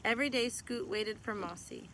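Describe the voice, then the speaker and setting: A woman's voice: on the high side, moderate pace. A woman reading a children's picture book aloud as a teacher would, in scripted narration. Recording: clear background noise.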